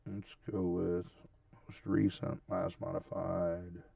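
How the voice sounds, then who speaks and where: A man with a lowish, gravelly voice walks viewers through a tutorial in an informal, step-by-step way. He checks in often as he goes. The sound is dry, with the voice sitting a little away from the mic. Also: flat delivery, takes it slowly, dark tone, dry recording, far from the mic. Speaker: tutorial host. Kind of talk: casual screen-share tutorial, narrating steps as he goes.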